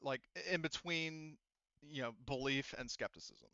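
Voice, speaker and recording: A man acting as game master, narrating a tabletop roleplaying game casually and haltingly, thinking aloud. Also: on the high side, creaky, bright, forceful tone, dry recording, lo-fi sound.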